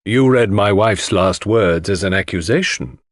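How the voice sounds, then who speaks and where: A man voicing a stiff, formal widower under suspicion, explaining himself deliberately and with composure. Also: on the low side, no room sound, clean studio sound, close to an everyday voice. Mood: defensive.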